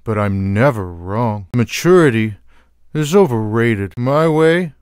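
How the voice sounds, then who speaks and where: A man voicing a lazy, sarcastic, food-loving cartoon cat in a video game, with wry, deadpan, drawling one-liners. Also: mid-range voice, slow, smooth, no room sound, studio quality. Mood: dry, sardonic.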